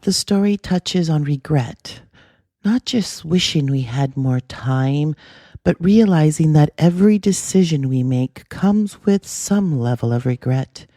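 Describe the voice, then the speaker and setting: A podcast host speaking in a measured, earnest, reflective way, with scripted narration and gentle interview questions. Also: mid-range voice, animated, dry recording, studio quality.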